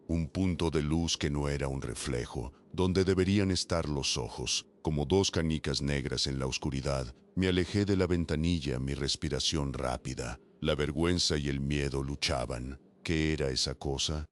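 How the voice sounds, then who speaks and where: A man reading a first-person horror story as scripted narration, with slow, dramatic pauses. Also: extremely low pitch.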